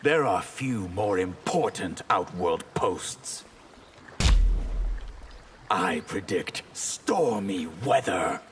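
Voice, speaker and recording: Slow, solemn high mage. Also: male voice, expressive, exaggerated voice. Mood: solemn, ominous. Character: solemn, proud male sorcerer high mage. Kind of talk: video game fight dialogue, formal. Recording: long reverb, clear noise behind.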